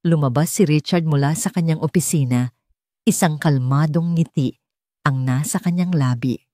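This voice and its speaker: A woman's voice: low voice, normal speed, mellow, no echo, studio quality. A woman with a Filipino accent reading a scripted romance story as a dramatic audiobook narrator.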